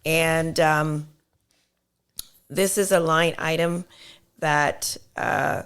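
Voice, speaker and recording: A woman explaining accounting on a podcast, patiently walking through step-by-step examples with many fillers, her voice slightly hoarse. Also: deep voice, fairly even intonation, very slow, fairly bright tone. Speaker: accountant guest.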